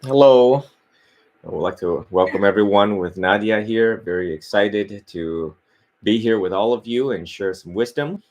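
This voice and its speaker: A man in his thirties, a monk from Vietnam, speaks with a very low, deep voice. He tells his story in a relaxed, open and unhurried way, like a guest chatting on a podcast. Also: highs cut off. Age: 30s. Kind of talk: casual interview, life story.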